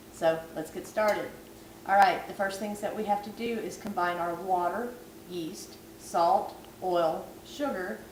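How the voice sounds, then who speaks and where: A woman's voice: lowish voice, darkish tone. A woman who is losing her voice gives a chatty, self-deprecating cooking tutorial at home. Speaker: vlogger. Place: home kitchen at night. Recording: echoey kitchen, far from camera, background noise.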